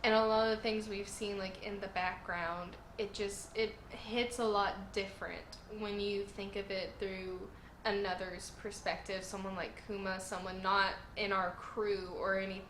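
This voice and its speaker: A woman's voice: mid-range voice, flat delivery, a little slow, fairly bright tone, echoey room, a little hiss or hum, rough recording. A woman hosting a casual video review, talking in an earnest, emotional, gushing way while her throat is sore and her voice sounds strained. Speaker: channel host reviewing manga chapters.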